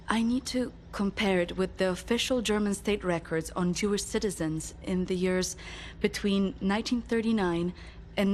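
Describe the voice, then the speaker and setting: An actress playing a methodical woman researcher in a video game, measured with small hesitations. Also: mid-range voice, slight background noise, lightly acted. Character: studious woman, calm and methodical. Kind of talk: video game cutscene acting.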